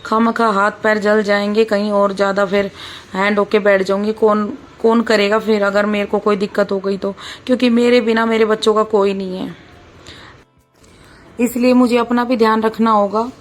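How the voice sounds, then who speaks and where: A woman in her thirties with a hoarse, sore voice, chatting casually through a vlog as she cooks. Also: mid-range voice, level tone, normal speed, faint music behind. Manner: chatty, keeps saying "bhai", talks while cooking. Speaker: vlogger.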